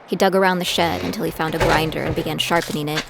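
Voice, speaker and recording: Young female reluctant fighter in an audio drama, self-doubting and grieving, lowish voice with vocal fry. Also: fairly even intonation, quick pace, very noisy. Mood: somber narration. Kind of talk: scripted audio drama narration and dialogue.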